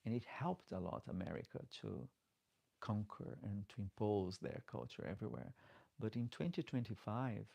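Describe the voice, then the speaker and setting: A man's voice: on the low side, normal speed, vocal fry, mellow. A man with a Portuguese-speaking accent, a drag queen out of drag, chatting casually on a late-night livestream and reading comments aloud. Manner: chatty, reads comments aloud, pleads for likes. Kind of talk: casual live chat.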